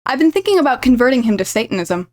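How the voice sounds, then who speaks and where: A woman's voice: fairly high pitch, very animated, racing, a little mellow. An actress playing a chatty, sardonic woman in her late twenties in an audio drama. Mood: wry, gossipy. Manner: wry, chatty.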